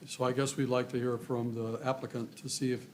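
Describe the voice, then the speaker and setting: A commissioner who lists points one by one in a deliberate way. His voice is mid-pitched and flat. There is faint room noise behind him. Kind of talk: questions at public meeting.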